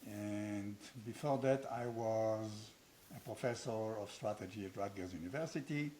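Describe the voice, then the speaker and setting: A man's voice: fairly low pitch, measured pace, dark tone. Older Israeli-accented man, blunt, provocative host, dry self-mocking humor, faint hiss on the recording. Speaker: host, founder of a training academy. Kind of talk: unscripted conversation.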